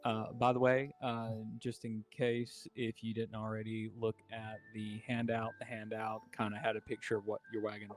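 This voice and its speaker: A man acting as game master of a tabletop game, explaining things in a relaxed way with plenty of ums and uhs. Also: lowish voice, normal speed, hardly any pauses. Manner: relaxed, filler-heavy explaining.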